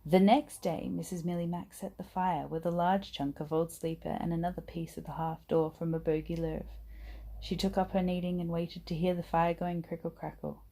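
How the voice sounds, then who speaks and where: A woman reading a children's bedtime story aloud in an expressive, acted-out manner. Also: on the low side, normal speed, poor audio. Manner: animated, acts out dialogue and sound words. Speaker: storybook reader.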